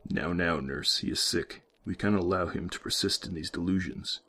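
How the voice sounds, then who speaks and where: A man voicing an older male asylum doctor who speaks in a slow, consoling, condescending way. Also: low voice, bright, forceful tone, breathy edge, pitched below the performer's own voice, full character voice. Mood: patronizing.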